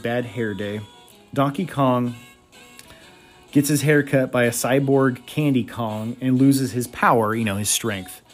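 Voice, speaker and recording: A man hosting a solo podcast, giving blunt, opinionated reviews with crude humor. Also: mid-range voice. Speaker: podcast host.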